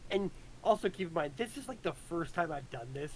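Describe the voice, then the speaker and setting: Higher-pitched man, halting casual talk. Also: expressive, slight background noise, low-quality recording. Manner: halting, self-deprecating. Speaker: writer discussing own work. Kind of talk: casual chat.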